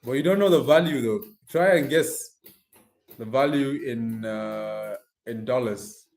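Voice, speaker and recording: A man's voice: medium pitch, unhurried, a little mellow, soft background music. A man from Nigeria with a Nigerian accent chats casually and informally, addressing live viewers directly in slangy speech. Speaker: live streamer. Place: echoey room indoors. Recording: echoey room.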